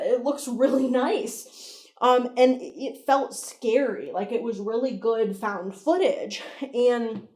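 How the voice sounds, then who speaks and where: A woman's voice: mid-range voice, reverberant. A woman hosting a video review, talking in a conversational, opinionated and emphatic way with casual swearing. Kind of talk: casual commentary, breakdown analysis.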